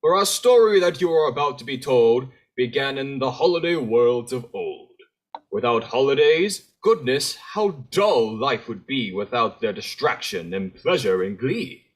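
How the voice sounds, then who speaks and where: A man playing Santa Claus as a theatrical storybook narrator, speaking in rhyme with a husky voice. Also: medium pitch, animated, measured pace. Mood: storybook, grave.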